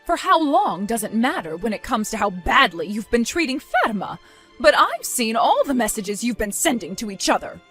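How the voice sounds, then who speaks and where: A woman's voice: on the high side, big pitch swings, normal speed, a bit bright, soft background music. A woman voicing a stern, disappointed mother nearing retirement age in a dramatized story, scolding with weary firmness. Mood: reproachful.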